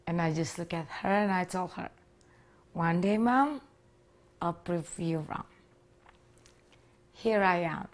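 A woman in her thirties from Indonesia with an Indonesian accent, earnestly telling her personal story with small grammar slips, seated in a living room on a lapel mic. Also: fairly low pitch. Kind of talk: personal testimony to camera. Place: living room with leather sofa, indoors.

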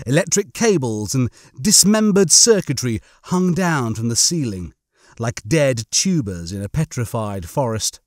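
A man's voice: medium pitch, sing-song, unhurried, a little mellow, no room sound, clean studio sound. A man reading a science fiction audiobook in a theatrical, suspenseful way. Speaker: audiobook narrator. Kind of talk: dramatic scripted narration of comic science fiction.

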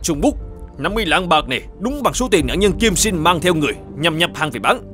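A shrewd, stern governor from an animated folk tale. He lays down judgment with formal, commanding authority and an animated, varied delivery, over background music. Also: on the high side, a little fast. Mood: stern, accusing. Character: stern, shrewd male governor judging a murder case. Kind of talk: cartoon folk-tale dubbing.

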